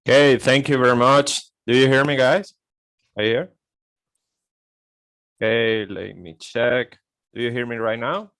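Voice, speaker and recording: A Venezuelan man in his thirties, Spanish-native, talks slowly through a call presentation in a clear, smooth voice. The sound is dry and close. He halts, repeats words and keeps tagging "okay?". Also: medium pitch, a bit bright, no echo. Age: 30s. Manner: halting, repeats words, frequent "okay?" tags. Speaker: guest speaker on an online call.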